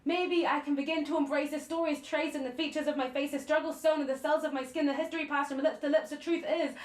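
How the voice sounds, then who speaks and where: A young woman with a New Zealand accent performing slam poetry in a measured, deliberate, earnest way with dramatic pauses. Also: high voice, steady tone. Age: young adult. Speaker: slam poet. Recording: roomy, echoing voice recording.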